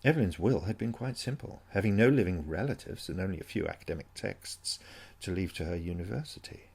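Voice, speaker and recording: A man reads a haunting first-person story as a dramatic audiobook. His voice is fairly low, with a gravelly creak and a husky grain. He takes his time, keeping the delivery brooding and full of suspense. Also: animated, a little fast, darkish tone. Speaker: story narrator.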